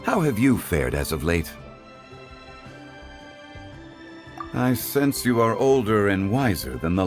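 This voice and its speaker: A man playing a refined, courteous, erudite gentleman in a video game, speaking in formal, eloquent, measured phrases. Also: sits low.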